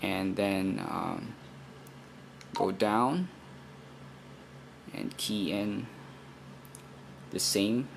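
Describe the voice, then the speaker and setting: Male tutorial presenter, low-pitched and creaky, hesitant, working through steps aloud over a noisy amateur recording. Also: extremely slow, pauses often. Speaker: radio programming demonstrator. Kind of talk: unscripted hands-on tutorial. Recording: noisy amateur recording, steady background noise.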